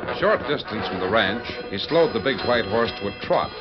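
A man's voice: on the low side, animated, normal speed. Old radio narrator guy, formal dramatic storytelling, dull sound with music under it. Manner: formal, crisp storytelling delivery. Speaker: narrator and announcer. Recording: muffled old radio recording.

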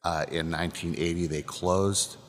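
A man from Illinois, a distillery co-owner, answers questions in a halting way with many ums, trailing off softly. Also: sits low, fairly even intonation, big-room sound. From Illinois, USA. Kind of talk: casual interview answers. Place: distillery building interior.

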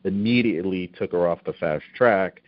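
A man with a deep voice hosting an interview and asking long, rambling questions. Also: normal speed, dry recording. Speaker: host. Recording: dry, dull lo-fi recording.

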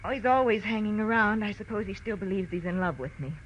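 A woman's voice: medium pitch, quick pace, natural voice. An actress playing an anxious young woman in a 1940s radio detective drama, breathless and hesitant. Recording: muffled, noisy old radio recording.

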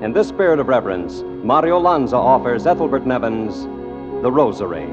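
A man's voice: medium pitch, lively intonation, a little fast, loud music behind the voice. A man reading scripted copy as a 1950s American radio show announcer. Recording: dull old radio recording, reverberant.

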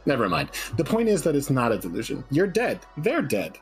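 A man's voice: mid-range voice, huge pitch swings, a little fast, slightly rough, faint music behind, exaggerated voice. A man playing a bleakly nihilistic talk-show psychologist in a dramatic reading, lecturing a caller in a blunt, condescending manner. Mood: bleakly matter-of-fact.

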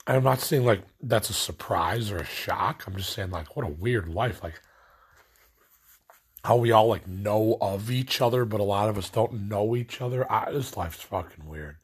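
Very husky, low-voiced rambling man. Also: moderate pace. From Illinois, USA. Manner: meandering, filler-heavy, lots of "like". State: stoned. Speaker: vlogger. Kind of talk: rambling late-night rant.

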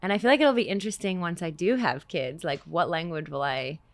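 A young woman from Canada, a singer guest, talking in a relaxed, reflective way and laughing easily. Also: lowish voice, brisk. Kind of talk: casual interview chat.

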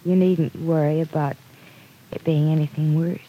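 A woman's voice: deep voice, fairly even intonation, normal speed, dull audio. An actress playing an earnest, stubbornly loyal young woman in an old-time radio soap opera. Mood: earnest, defensive.

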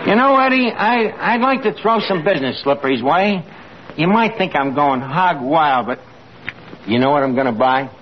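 A man acts out a boastful, none-too-bright barkeep in an old 1940s radio comedy. His voice sits high and swings freely through slangy, mangled English, over a muffled, noisy recording. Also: animated, moderate pace, close to an everyday voice. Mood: dreamy boasting. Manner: streetwise, ungrammatical slangy talk.

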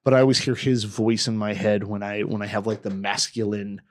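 A man's voice: lowish voice, little pitch movement, brisk. A young man co-hosting a podcast, talking emotionally and rambling, swearing constantly and restarting his sentences. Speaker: podcast co-host. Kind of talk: casual podcast chat.

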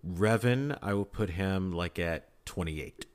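A man with a low-pitched voice chats as a podcast panelist. He is informal and emphatic, talking in short, punchy phrases. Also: slow. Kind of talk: casual chat.

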